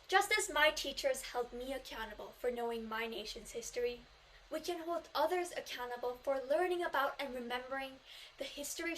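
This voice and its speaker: A woman's voice: sits high, a little hiss or hum, low-quality recording. A Korean teenage girl, a native Korean speaker, delivering an earnest memorized speech in English at a student contest, stressing key phrases. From South Korea. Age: teens.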